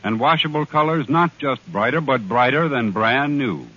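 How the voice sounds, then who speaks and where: A man reading a 1940s radio commercial as an announcer, measured and emphatic. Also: medium pitch, a bit bright, husky. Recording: muffled, hissy old radio broadcast.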